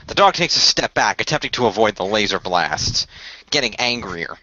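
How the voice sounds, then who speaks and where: Bright-voiced man improvising fantasy narration. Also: lowish voice, expressive. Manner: halting, often repeats phrases as he improvises. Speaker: game master, co-host of a fan radio play. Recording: dull lo-fi voice recording.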